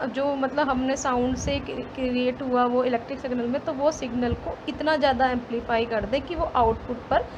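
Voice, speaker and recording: An Indian woman speaks in a high-pitched voice, teaching in an explanatory way. She goes over each point step by step and restates it. The recording has clear noise behind her. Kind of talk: explanatory slide lesson, reading definitions aloud and explaining them. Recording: clearly noisy background throughout.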